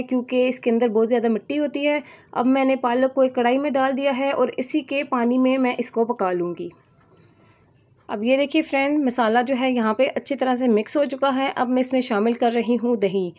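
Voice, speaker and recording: A woman's voice: a little high, darkish tone. Chatty woman narrating a recipe. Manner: chatty, addresses viewers as friends. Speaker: cooking channel host.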